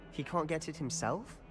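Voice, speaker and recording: An actor voicing a sly, cocky teenage wizard in a video game, confident and conspiratorial, with a British accent. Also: male voice, on the high side. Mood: teasing, curious. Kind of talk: scripted video game acting.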